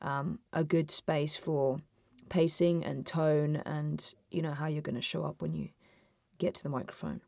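A woman with a husky, low-toned voice, answering interview questions as a voice actor guest, thoughtful and often restarting her sentences. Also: lively intonation, unhurried, a little mellow, no room sound, clean studio sound.

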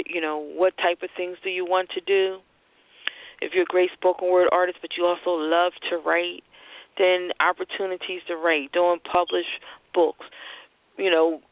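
A woman's voice: lowish voice, no room sound. A woman hosting a conversational call-in talk show, giving advice and announcements over a phone line. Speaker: radio show host and marketing strategist. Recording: muffled, band-limited phone-line internet radio.

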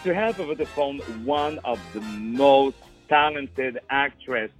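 A man's voice: mid-range voice, slow pace, soft background music. A man hosting a radio interview, effusive and repetitive, stumbling over words in his long questions. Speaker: radio host.

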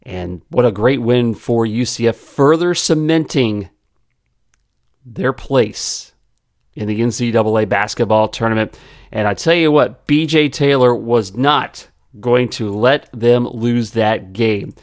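A man hosting a sports podcast, his voice a little raspy, chatting with frequent pauses and fillers. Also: mid-range voice, dry recording, clean studio sound. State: slightly raspy voice.

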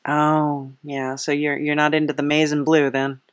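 A woman's voice: low voice, little pitch movement, normal speed, no echo, clean studio sound. A woman hosting a comic interview in a casual, rambling, hesitant way with a wry touch. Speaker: podcast host, interviewer.

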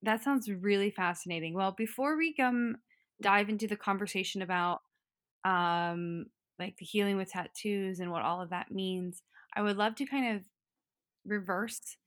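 A woman's voice: mid-range voice, normal speed, a bit bright, no room sound, clean studio sound. A woman hosting an interview podcast, asking long, rambling questions filled with "um". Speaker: podcast host.

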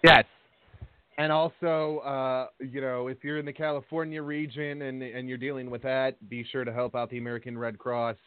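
A man's voice: mid-range voice, clean tone, no room sound. A man hosting a wrestling talk radio show, opening segments and chatting casually. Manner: upbeat announcer opening. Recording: tinny phone-like audio.

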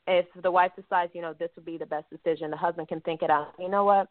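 A woman evangelist hosting a talk show interview, speaking softly and filling her sentences with "you know". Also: on the low side, fairly even intonation, fast talker, no room sound. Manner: soft-spoken, many "you know" fillers. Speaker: host and evangelist. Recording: dry, phone-like line.

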